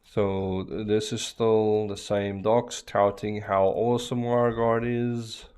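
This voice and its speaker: A man whose first language is Afrikaans, chatting casually on a live stream while he works through a networking lab, with relaxed fillers and restarts. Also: lowish voice, slow, clear, resonant tone.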